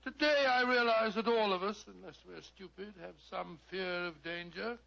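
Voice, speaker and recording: An actor playing an elderly British doctor telling stories on radio, with a blustery, avuncular, slightly hesitant manner. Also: male voice, high-pitched, moderate pace, vocal fry, pushed and bright, exaggerated voice. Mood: genial, musing. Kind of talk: 1940s radio drama acting. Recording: muffled vintage radio recording.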